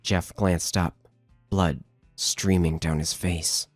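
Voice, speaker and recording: A man's voice: low voice, steady tone, slow pace, no room sound, soft background music. A man narrating a scripted horror story.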